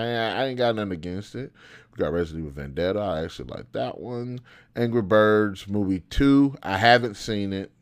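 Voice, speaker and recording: Low-pitched man, casual slangy commentary. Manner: slangy, informal, thinking aloud. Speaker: host of a show on video game movies. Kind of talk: casual off-the-cuff commentary, opinionated review.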